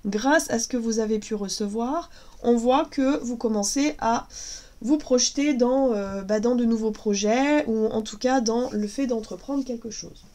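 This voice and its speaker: A woman's voice: on the high side, unhurried, mellow, slight background noise. A woman in her thirties with a cold, chatting casually and hesitantly through a tarot reading. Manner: chatty, hesitant with many fillers. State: has a cold, voice cracking. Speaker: tarot reader.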